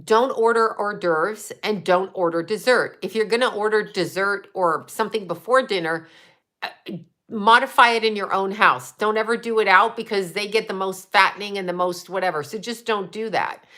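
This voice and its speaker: Woman, fifties, livestream host, bright tone, blunt, swears freely, chatty. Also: medium pitch, moderate pace. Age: 50s. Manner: blunt and profane, trash-talking trolls between chatty tips. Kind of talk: casual livestream chat answering comments.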